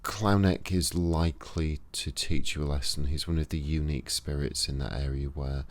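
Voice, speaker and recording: A man with a Yorkshire accent, giving a casual, unhurried spoken lesson to camera with pauses and fillers. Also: very deep voice, fairly even intonation. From Yorkshire, England. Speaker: esoteric practitioner and channel host. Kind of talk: casual instructional talk to camera.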